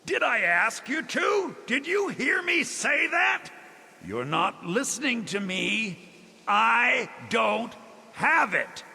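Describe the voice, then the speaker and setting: High, raspy, irritable mage. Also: male voice, big pitch swings, slow, sharp tone, exaggerated voice. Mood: exasperated, defensive. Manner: exasperated, snappish. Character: irritable, defensive mage insisting he is blameless. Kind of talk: fantasy video game character dialogue. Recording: long hall reverb.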